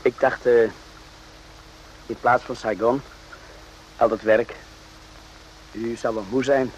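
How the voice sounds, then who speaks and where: A man's voice: mid-range voice, steady tone, slow, lo-fi sound, close to an everyday voice. A man playing a smiling, obliging orderly in a radio play, hesitant and ingratiating in manner. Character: smiling, obliging male orderly serving tea, falsely modest. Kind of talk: radio drama acting. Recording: noisy old radio play recording.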